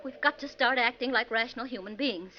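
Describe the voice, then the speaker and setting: High-pitched, high-strung young woman. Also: a little fast, bright, forceful tone. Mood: upset, apologetic. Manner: earnest, agitated, dramatic. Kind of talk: 1940s radio acting. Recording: muffled, noisy 1949 radio recording.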